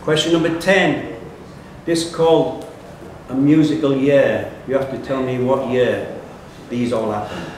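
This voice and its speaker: A man's voice: mid-range voice, fairly even intonation, measured pace, darkish tone. A man acting as quiz master, reading out questions and ruling on answers live in a pub. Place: pub quiz room, indoors. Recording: very echoey pub room, distant mic, faint noise.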